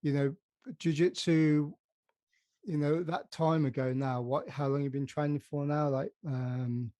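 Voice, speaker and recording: A man's voice: a little high, steady tone, unhurried, warm tone, dry recording. A man hosting a podcast, asking long, rambling questions with many restarts. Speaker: podcast host. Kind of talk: podcast interview.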